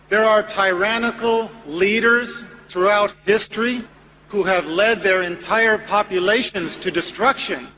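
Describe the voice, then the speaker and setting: A man giving a religious lecture to a live audience, asking rhetorical questions in an emphatic, measured way. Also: sits high, sharp tone, in a large hall, a little hiss or hum, rough recording. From United States.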